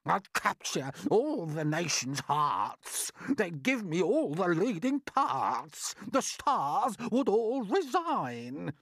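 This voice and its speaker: A storyteller voicing a vain, pompous, bossy aunt who declaims grandly in drawn-out words. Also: medium pitch, sing-song, a bit bright, dry recording, pitched above the performer's own voice, exaggerated voice. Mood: smug, gushing.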